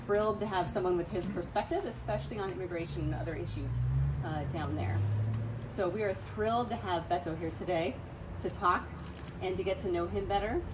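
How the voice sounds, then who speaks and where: American woman introducing a speaker, very noisy recording. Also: mid-range voice. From United States, raised on military bases. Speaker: event host introducing a speaker. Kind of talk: prepared introduction speech to a gathering. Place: outdoors on a front lawn.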